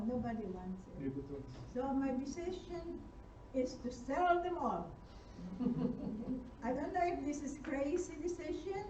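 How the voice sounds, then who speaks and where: An older woman with a high voice tells the seminar audience a story from her own life, chatty and quick to laugh, with non-native phrasing. She is picked up from a distance in a reverberant hall. The sound is muffled, and there is steady noise underneath. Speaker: audience member. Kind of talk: telling a personal story. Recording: distant mic, roomy hall, dull sound, audible noise.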